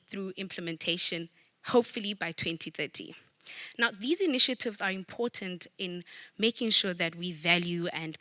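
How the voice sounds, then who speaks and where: Forceful young South African woman giving a pitch. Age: young adult. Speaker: student pitching a project. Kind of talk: prepared pitch presentation.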